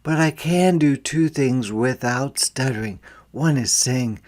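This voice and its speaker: A man reads a storybook aloud in a medium-pitched voice. His pace is slow and deliberate, like a teacher with young listeners. He steps out of the story now and then to explain things more quietly. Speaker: teacher reading a picture book aloud. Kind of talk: interactive read-aloud storytelling with explanatory asides.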